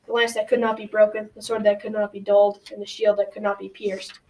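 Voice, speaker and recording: A woman's voice: mid-range voice, level tone, fast talker, darkish tone, lightly acted. A teenage girl narrating a folktale as scripted storytelling in an amateur school radio drama. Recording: noisy, distant from the mic.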